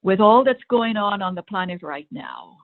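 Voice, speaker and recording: A woman meditation coach giving a spiritual talk in deliberate short phrases, with long pauses between them. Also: medium pitch, expressive. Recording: thin phone-line sound.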